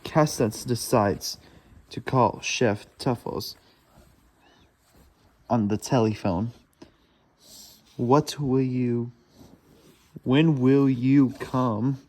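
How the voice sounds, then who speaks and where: A man reads a children's storybook aloud haltingly, stumbling over words and correcting himself as he goes. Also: mid-range voice, fairly even intonation, darkish tone. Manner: stumbling, laboured reading with self-corrections.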